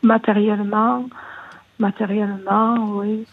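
A woman's voice: medium pitch, level tone, measured pace. A woman calling in to a radio show, speaking hesitantly about her personal life and often searching for words. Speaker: caller. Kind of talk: personal confession on call-in radio. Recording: husky voice over a phone line.

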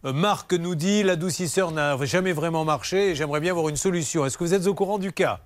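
Lively high-voiced male radio host. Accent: Bordeaux French. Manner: lively, teasing, quick to joke. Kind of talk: consumer-rights radio talk show.

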